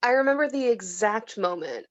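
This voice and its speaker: A woman's voice: mid-range voice, expressive, normal speed, lo-fi sound, close to an everyday voice. A woman acting a tipsy, disillusioned woman who rambles and giggles in a read-through of a film script. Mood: wistful, bitter. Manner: rambling, slightly slurred, giggly.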